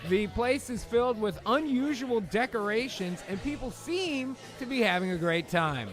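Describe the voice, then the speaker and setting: Male narrator, high-pitched, casual joking table-read style, wry, clear background music. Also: animated, moderate pace. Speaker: narrator and improv performer.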